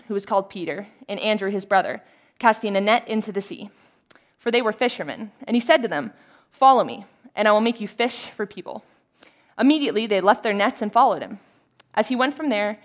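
A woman narrates a passage of scripture from a written text. Her voice sits at an ordinary middle pitch for a woman. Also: fairly bright tone. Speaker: volunteer scripture reader. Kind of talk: scripted reading of scripture aloud.

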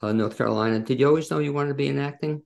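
A man's voice: mid-range voice, very fast, creaky, darkish tone. A man, hosting an interview in a casual, conversational style. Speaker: interview host.

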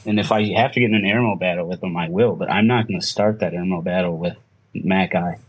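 Low-pitched male voice, podcast guest, pro athlete, casual conversational answers. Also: fast talker, a little hiss or hum, poor audio. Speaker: podcast guest, professional cornhole player. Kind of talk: casual interview chat.

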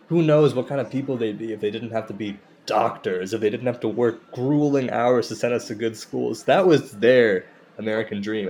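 An actor playing an insecure, self-deprecating comedian in a naturalistic audio drama, halting, confessional and profane. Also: male voice, mid-range voice, a little mellow, natural voice. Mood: guilty, pleading. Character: insecure, self-deprecating Indian-American stand-up comedian, torn by shame.

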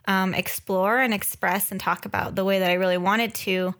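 A woman's voice: mid-range voice, steady tone, normal speed, a bit bright. A woman in her thirties speaking as a podcast co-host, chatting casually and informally about herself with a joking, self-deprecating streak. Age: 30s. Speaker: podcast co-host and graphic designer. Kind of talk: casual chat introducing herself.

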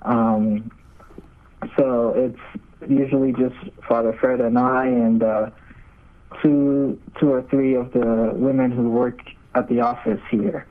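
A man's voice: mid-range voice, fairly even intonation, takes it slowly, narrow, tinny audio. A young man gives casual, hesitant interview answers as a seminarian guest over a remote call. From Nigeria. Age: young adult.

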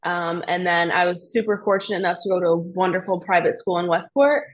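A young woman with an American accent and a noticeably husky voice that sits a little low. She speaks casually and explains things in a chatty, storytelling way, as a guest in a relaxed conversation. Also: a little fast. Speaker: podcast guest, fitness coach.

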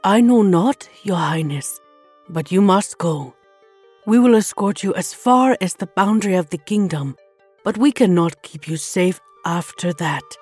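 A woman's voice: on the low side, lively intonation, a little slow, darkish tone, husky, faint music behind. A loyal storybook guard, read by a woman. The delivery is solemn, formal and dutiful, with regret beneath it. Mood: regretful, firm. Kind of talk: storybook character voice.

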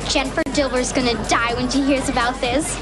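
A woman's voice: sits high, steady tone, a little fast, close to an everyday voice. A female actor playing a chatty, guileless kid in a sitcom. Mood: gleeful. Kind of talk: sitcom acting before an audience. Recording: loud music and audience behind dialogue.

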